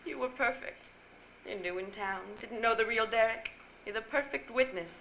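Vengeful femme fatale for a vintage radio play: bright, forceful, theatrical, heard in a very echoey space. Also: female voice, fairly high pitch, moderate pace. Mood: smug, bitter. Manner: theatrical, smug, biting. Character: vengeful scheming femme fatale, vain fallen actress. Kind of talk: old-time radio drama acting. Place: stage before a live audience. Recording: echoey live stage, faint noise.

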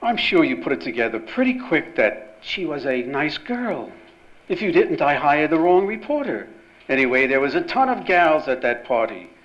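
A brisk, jovial American newspaper chief from an old-time radio play. His voice is rather high for a man and swings about a great deal, bossy but fatherly. The recording is muffled. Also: big pitch swings, fairly bright tone. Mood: jovial, teasing. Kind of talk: 1940s-style radio drama acting. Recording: dull lo-fi sound, faint noise behind.

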